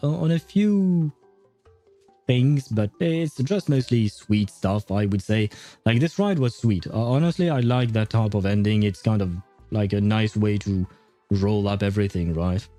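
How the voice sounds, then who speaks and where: A man's voice: lowish voice, lively intonation, a little slow, warm tone. A man livestreaming a game in casual chat, rambling and hesitant as he thinks aloud. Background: game music.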